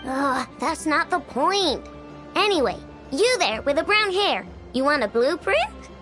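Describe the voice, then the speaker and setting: A woman's voice: high voice, sing-song, unhurried, music in the background. A woman voicing a small, bossy girl inventor in an anime-style game, blunt and self-important but kind underneath. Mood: blunt, knowing.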